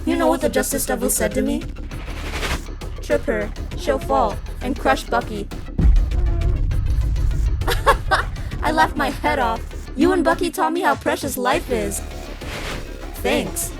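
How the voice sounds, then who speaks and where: A woman's voice: high voice, expressive, takes it slowly, pauses often, over music. A woman playing a jealous, unhinged teenage class president in an anime fan dub, with a taunting, theatrical delivery. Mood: gloating.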